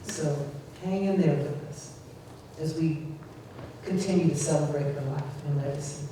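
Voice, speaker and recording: A woman emceeing a memorial program, speaking thoughtfully and pausing with many "um"s. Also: deep voice, dark tone. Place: indoor gathering hall. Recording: echoey hall from far off, faint noise.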